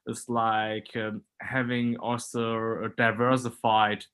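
A man's voice: medium pitch, flat delivery, slow pace, a bit bright, smooth. A man, a guest in a podcast interview, speaking hesitantly with many fillers. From Germany. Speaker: entrepreneur guest.

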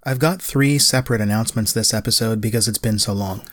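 A middle-aged man, narrating a solo podcast in a measured, lightly self-deprecating way. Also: lowish voice, slight background noise. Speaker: podcast host, writer.

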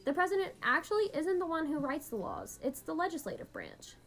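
A woman's voice: sits high, big pitch swings, fairly quick, a bit bright. A woman hosting an educational podcast in a scripted, instructive explainer style. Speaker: podcast host.

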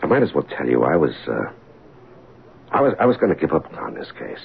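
An actor playing a weary, wry middle-aged American detective in an old radio drama, husky and gravelly, with a hesitant, colloquial delivery. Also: male voice, a bass voice, big pitch swings, brisk, phone-quality sound, exaggerated voice. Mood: awkward, probing. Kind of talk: old-time radio drama acting, first-person narration and dialogue. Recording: band-limited old radio broadcast.

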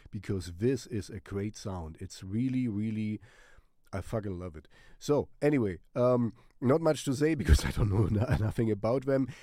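A man's voice: on the low side, warm tone, no echo, clean studio sound. A man with a German accent, sick with a cold, rambling through a casual music recommendation full of fillers and swearing. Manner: swears casually and laughs often. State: has a cold. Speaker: music channel host.

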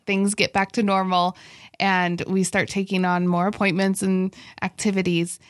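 A woman's voice: medium pitch, level tone, normal speed, dry recording, studio quality. Earnest young woman co-hosting a faith podcast. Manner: warm, laughs often, earnest about faith. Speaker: podcast co-host. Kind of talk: casual chat.

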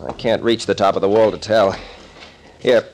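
Man playing a brisk American radio adventure captain. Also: on the low side, steady tone, a little mellow, lightly acted. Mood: brisk, straining. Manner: clipped, urgent, no-nonsense, colloquial. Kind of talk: 1940s radio serial acting. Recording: noisy old-time radio recording.

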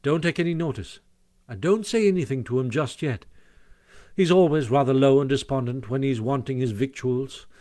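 A man voicing a sensible, patient water rat in a storybook, practical and gently chiding. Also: medium pitch, normal speed, lightly acted. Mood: hushed reassurance. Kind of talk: storybook character voice.

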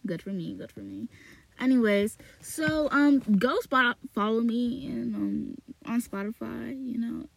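Woman, podcast host, slow read-aloud, rambling chat, repeats herself, small closet recording. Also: a little high, creaky, dry recording, low-quality recording. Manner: rambling, repeats words, frequent fillers and restarts.

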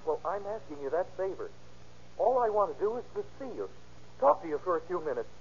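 An actor playing an intense, jealous and desperate young boyfriend in old-time radio drama style. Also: male voice, high voice, sing-song, quick pace, darkish tone. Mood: desperate, pleading. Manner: intense, pleading or bullying. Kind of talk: 1930s-40s radio drama acting. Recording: muffled, noisy old-time radio recording.

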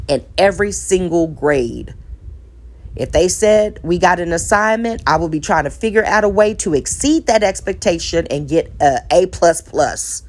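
A woman, a divorced single mother giving relationship advice in an earnest, reflective monologue, with a preacher-like cadence, repeated phrases and self-deprecating asides. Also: on the low side.

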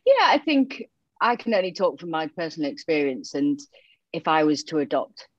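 A woman's voice: deep voice, sing-song, normal speed, no echo. A woman from Romania with a Yorkshire accent, chatting openly as a podcast guest. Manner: chatty, open, many fillers. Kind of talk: casual interview chat. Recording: dull muffled audio.

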